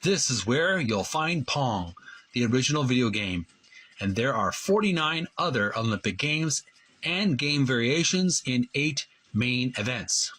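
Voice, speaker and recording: A man hosting a video channel, reading aloud and commenting in a halting way, often repeating and correcting his phrases. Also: medium pitch, fairly bright tone, slightly rough. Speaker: host of a retro video game channel. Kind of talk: read-aloud book walkthrough with commentary.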